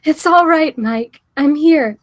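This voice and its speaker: An actress at a screenplay table read playing Jess, an anxious, devoted woman who speaks in an earnest, pleading, placating way. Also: sits high. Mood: soothing, worried.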